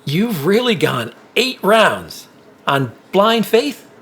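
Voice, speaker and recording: An actor playing a friendly, easygoing corporate receptionist in a naturalistic audio drama. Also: male voice, sits high, very animated, takes it slowly, a bit bright, full character voice. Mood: easygoing, curious.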